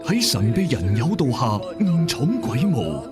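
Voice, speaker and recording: A man's voice: medium pitch, wildly swooping pitch, measured pace, loud music behind the voice. A man narrating an audio drama as a colloquial, suspenseful storyteller. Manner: colloquial, suspenseful, rhetorical questions.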